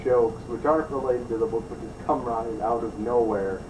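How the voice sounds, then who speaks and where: A man's voice: mid-range voice, fairly even intonation, moderate pace. Echoey, distant male review host. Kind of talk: improvised review commentary. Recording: roomy, distant mic, clearly noisy.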